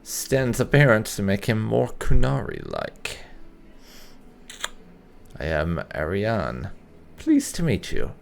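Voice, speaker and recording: A man hosting a casual let's play with light roleplay, explaining things in a wordy, measured way. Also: fairly low pitch, huge pitch swings, vocal fry. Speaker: gaming YouTuber, let's play host.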